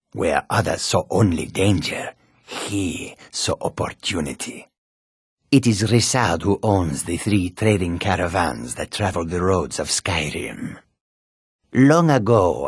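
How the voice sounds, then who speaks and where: Low, husky cat-folk trader. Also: male voice, dry recording, studio quality, exaggerated voice. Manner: measured, formal, archaic phrasing. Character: male cat-folk caravan trader, courteous, wary, homesick. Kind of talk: fantasy video game character dialogue.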